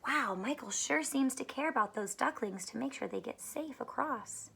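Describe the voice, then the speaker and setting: Bright, high-pitched woman reading to children. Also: moderate pace, creaky, husky. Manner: expressive, questioning, talks directly to young children. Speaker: elementary school teacher reading aloud to students. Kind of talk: animated children's storybook read-aloud with asides.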